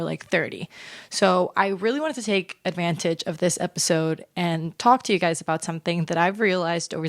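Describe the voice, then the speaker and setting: A woman in her twenties, talking casually to the camera in a sit-down vlog chat, chatty and animated with lots of fillers. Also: lowish voice, no echo, clean studio sound. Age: 20s. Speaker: vlogger.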